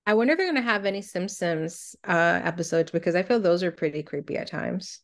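A woman's voice: fairly low pitch, fast talker. A woman co-hosting a podcast, chatting casually and reacting her way through a countdown list. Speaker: podcast co-host.

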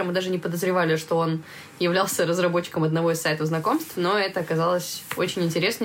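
Early 20s girl hosting a chatty podcast, talks fast, bit of background noise. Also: on the low side. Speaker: podcast host. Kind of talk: casual podcast chat.

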